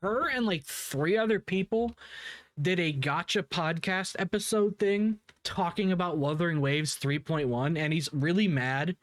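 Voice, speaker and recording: A man's voice: high voice, unhurried, dry recording, studio quality. Blunt casual commentary man. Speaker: drama commentary host.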